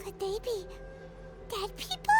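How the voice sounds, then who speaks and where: The excitable little floating sidekick who pipes up beside the hero in a fantasy game, a childlike squeak that swoops all over. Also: female voice, a little slow, faint music behind, full character voice. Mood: curious, puzzled. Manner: refers to self in third person, inquisitive. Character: tiny floating childlike fairy companion, curious and excitable. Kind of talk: video game character acting.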